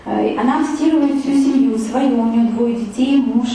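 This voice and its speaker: A woman recounts her trip to a live audience in a loose, rambling way, with many fillers and restarts. Her voice is mellow and fairly high. She sounds set back from the mic in a very echoey room, with a faint hiss behind her. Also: fairly even intonation, normal speed, distant mic. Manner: chatty, full of fillers and restarts. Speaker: speaker giving a travel talk with photos. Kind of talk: casual spoken travel story to an audience.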